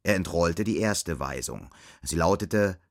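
A man's voice: low voice, expressive, measured pace, vocal fry, exaggerated voice. A man narrating a literary radio drama from a script.

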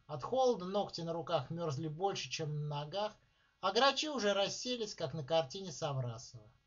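A man reading his own short story aloud in a measured, deliberate way, with dramatic pauses, at a live reading. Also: sits high, a bit bright. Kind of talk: literary reading of prose. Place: live reading at a writers' club. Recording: dull lo-fi recording.

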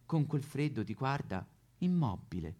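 A man's voice: mid-range voice, very animated, unhurried, mellow. A man playing an aggrieved, neglected female Madonna statue in a satirical stage monologue, plaintive and melodramatic with a Roman accent. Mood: weary, complaining. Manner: melodramatic, plaintive, sudden swoops into wailing. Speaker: comic actor.

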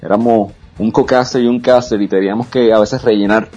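A man's voice: on the low side, fairly even intonation, brisk, mellow, highs cut off, faint music behind. A man, an esports caster speaking frankly and confidently as a guest in a casual interview. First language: Spanish. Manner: frank, self-assured, with frequent restarts.

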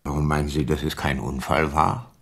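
An actor playing a sober, concerned detective in a radio drama, speaking quietly with a gravelly, husky voice. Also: male voice, very deep voice, flat delivery, fairly quick, heavily acted. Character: sober, concerned male detective questioning a client. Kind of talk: naturalistic radio drama acting.

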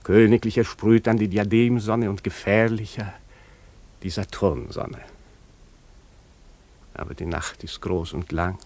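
Radio drama role: wistful aristocratic prince, lowish voice, polite, formal, musing. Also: male voice, unhurried, long pauses, a little mellow. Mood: dreamy, musing. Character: courteous exiled prince, wistful, dreamy aristocrat. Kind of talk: German radio play acting.

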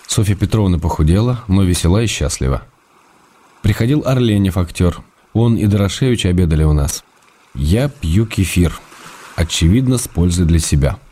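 A man's voice: sits low, animated, moderate pace, mellow. An actor playing an affectionate, slightly weary husband in a radio play, reading out his letters in an intimate, restrained, tender way. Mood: calm, weary. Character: affectionate husband and writer, gentle, weary, fond. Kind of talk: quiet dramatic reading of letters.

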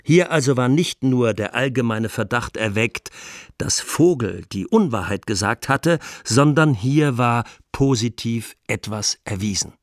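A 1920s defence lawyer addressing the court in a radio documentary. He has a medium-pitched voice and argues in a deliberate, rhetorical way. He stresses his points firmly and lets the ends of phrases drop. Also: expressive. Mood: insistent, accusing. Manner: rhetorical, deliberate, emphatic, with dropped phrase endings. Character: defence lawyer pleading in a 1929 trial. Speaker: defence counsel.

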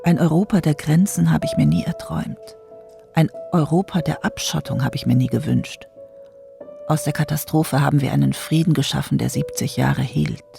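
An actress playing the reflective, disillusioned ghost of a parliamentarian, speaking a measured literary monologue in a radio drama. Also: female voice, sits low, expressive, gravelly, over music, exaggerated voice. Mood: melancholy, pensive. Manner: measured, pensive.

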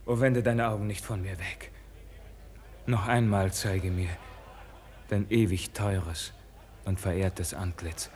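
A man's voice: deep voice, steady tone, a little mellow. An actor playing a passionate, anguished young nobleman officer in a 1950s German radio verse drama, declaiming fervently. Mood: pleading. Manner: declamatory, fervent, drawn-out delivery. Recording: 1950s radio production with music.

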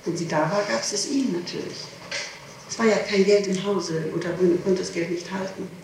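A middle-aged woman answering questions in an interrogation, speaking haltingly, repeating herself and protesting. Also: mid-range voice. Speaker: suspect under interrogation. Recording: echoey, distant, noisy archival 1960s tape.

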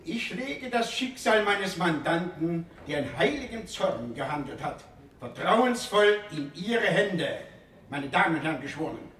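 An actor playing a theatrical defence lawyer in a radio play, pleading to a jury with solemn, declamatory pathos. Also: male voice, high-pitched, very animated, unhurried, a bit bright, distant mic, slight background noise, full character voice. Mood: grand pathos. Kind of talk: 1980s German radio play courtroom oratory. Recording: echoey courtroom sound from off mic.

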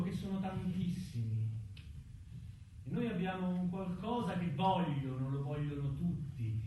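A very reverberant stage voice, set back from the microphone with music behind. a cruel recruiter who coldly justifies himself in a high male register. His radio-drama delivery is deliberate, declamatory and menacing. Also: darkish tone, slight background noise. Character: cruel, coldly rationalizing recruiter who preys on young jobseekers. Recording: echoey, distant, with music behind.

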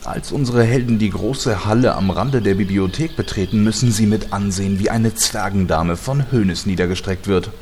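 Deep-voiced mock-epic male narrator. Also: moderate pace, few pauses, darkish tone, slight background noise. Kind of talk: scripted narration.

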